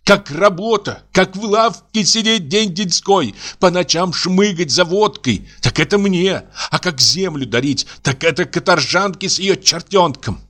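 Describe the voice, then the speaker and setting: Guy putting on a screaming young woman in a storybook, high shrill voice, crying and raging. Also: measured pace. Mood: furious. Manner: shouting, sobbing, bitter.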